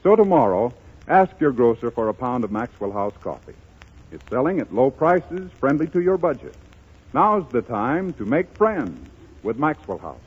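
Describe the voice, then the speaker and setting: A man's voice: medium pitch, big pitch swings, moderate pace, breathy edge, lo-fi sound. A man working as a radio announcer, reading an advertisement in 1930s broadcast style.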